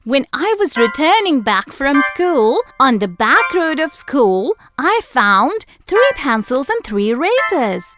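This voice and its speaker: A woman voicing an honest, polite and earnest little schoolboy in a children's animated story. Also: sits high, takes it slowly, hardly any pauses, heavily acted. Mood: pleading, excited. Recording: music behind.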